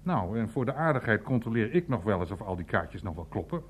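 A man's voice: lowish voice, very animated, quick pace, breathy edge. A man playing a blunt, irreverent older uncle in a naturalistic radio play, grumbling and swearing as he rambles. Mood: wry, chatty.

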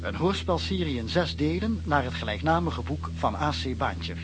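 A man's voice: a little high, expressive, moderate pace. A man announcing the title and credits of a radio drama serial. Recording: radio serial intro over music. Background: clear music.

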